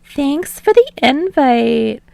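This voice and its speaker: Woman putting on a high, bubbly young bandmate. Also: very animated, slow pace, vocal fry, a little mellow, no room sound, heavily acted. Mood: grateful, chirpy.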